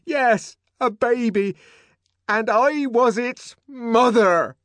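A man voicing a faded middle-aged woman who pleads in a shrill, trembling voice. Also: very animated, unhurried, no room sound. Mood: shrieking.

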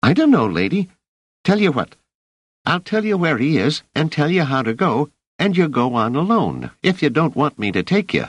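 A man's voice: fairly high pitch, sing-song, normal speed, slightly rough, no echo, pitched above the performer's own voice. A man voicing a cocky, street-smart young boy who talks in slang and wheedles for favors, in a storybook reading. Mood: cheeky.

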